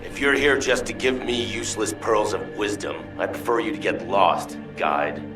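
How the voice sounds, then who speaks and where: An actor voicing a dismissive, vengeful soldier in a video game, gruff and curt. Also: male voice, on the low side, steady tone, normal speed, natural voice. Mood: irritated, defiant. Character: gruff, dismissive male soldier bent on revenge. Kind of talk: scripted video game dialogue. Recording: roomy, distant, music underneath.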